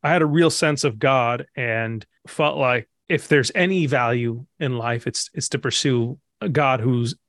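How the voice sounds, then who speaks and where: A man in his thirties from Egypt, a pastor and author, answering interview questions in a thoughtful way, pausing and restarting as he thinks. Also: mid-range voice, a bit bright, no room sound. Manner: reflective, thinks aloud with fillers and restarts.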